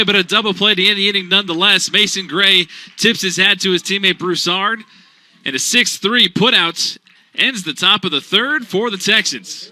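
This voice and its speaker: A young man delivering radio baseball play-by-play with a high, very bright, ringing voice. His calls are punchy and animated, in classic sportscaster fashion. He keeps the action moving for listeners who cannot see the field. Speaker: play-by-play announcer.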